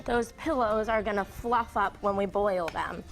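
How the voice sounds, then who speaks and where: A woman's voice: medium pitch, measured pace, poor audio. A woman cooking on a TV studio set, explaining each step in an upbeat, hands-on way. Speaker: guest cook. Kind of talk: cooking demonstration on live TV. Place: TV studio kitchen.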